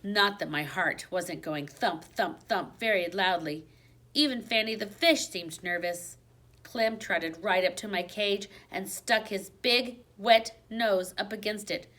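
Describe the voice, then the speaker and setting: A woman reading a children's storybook aloud in an expressive, dramatic manner, dropping to a hush for suspense. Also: medium pitch, a little slow. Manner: dramatic, with hushed suspenseful drops.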